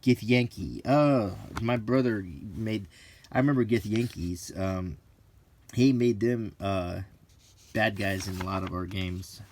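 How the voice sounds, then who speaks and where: A middle-aged man talks in a fairly low voice at a very unhurried pace. He gives casual commentary in short, halting phrases, musing aloud as he browses. There is some background noise with small clicks. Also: darkish tone. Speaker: host of a hobby channel. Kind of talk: casual unscripted commentary while leafing through a book.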